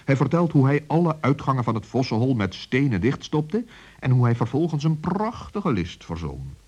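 A man narrates a children's story with lively, swooping intonation at a middle pitch. The recording is old and dull. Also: moderate pace, slightly rough.

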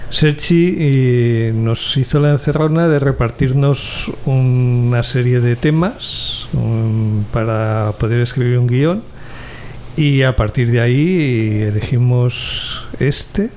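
A man with a Spanish accent from Spain, answering casually and haltingly as a guest in an interview. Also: mid-range voice, a little mellow, slight background noise. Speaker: guest, amateur scriptwriter.

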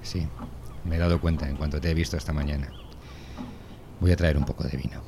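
Man acting weary subversive father, low voice, grave, emphatic, radio play, slight background noise. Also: steady tone, a little fast, gravelly. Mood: grave, urgent. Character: weary, subversive father advising his battered son. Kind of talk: audio drama dialogue.

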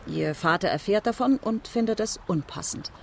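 An actress playing a worldly, bitter French marquise in a radio drama, eloquent, ironic and probing. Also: female voice, mid-range voice, expressive, normal speed, vocal fry, a little hiss or hum. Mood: ironic, provocative. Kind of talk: German radio drama acting.

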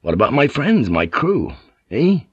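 A man's voice: mid-range voice, huge pitch swings, a little slow, slightly rough, dry recording, pitched above the performer's own voice, full character voice. A man voicing a weary captive ship pilot who answers in a terse, subdued way, on a dull cassette audiobook tape. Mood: wary.

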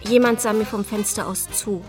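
A woman's voice: mid-range voice, steady tone, brisk, over loud music, natural voice. An actress playing a watchful girl who recounts a memory in a radio play.

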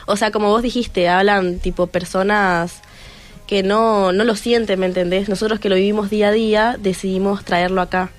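A woman's voice: medium pitch, steady tone, normal speed, faint music behind. Hesitant teenage girl, radio guest. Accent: Argentine. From Venezuela. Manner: hesitant, lots of fillers. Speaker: student guest. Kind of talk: casual interview answers.

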